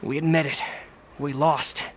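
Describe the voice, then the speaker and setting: Man playing a high-pitched, resentful street thug. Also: little pitch movement, unhurried, background noise, natural voice. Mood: bitter, defeated. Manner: grudging, aggrieved. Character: resentful male street thug, hot-headed but beaten. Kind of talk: video game voice acting.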